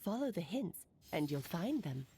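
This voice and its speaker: A woman's voice: lowish voice, big pitch swings, normal speed, mellow, a little hiss or hum. A woman playing a playful, teasing witch aunt in an anime game, with whimsical, theatrical airy confidence. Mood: explaining, playful.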